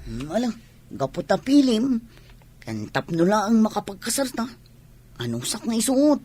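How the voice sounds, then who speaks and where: A woman's voice: medium pitch, sing-song, normal speed, warm tone, breathy edge. A woman playing a tender, indulgent young partner in a radio drama. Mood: tender, coaxing. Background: music.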